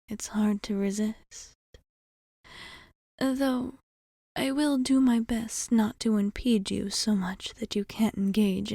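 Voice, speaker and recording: Casting: woman to play a fond, teasing vampire bartender for intimate ASMR. Mellow, breathy half-whisper, polite measured speech, close dry sound. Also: fairly high pitch, no echo. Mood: teasing, flirtatious. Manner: measured, formal, hesitant phrasing. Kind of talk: ASMR roleplay, intimate monologue.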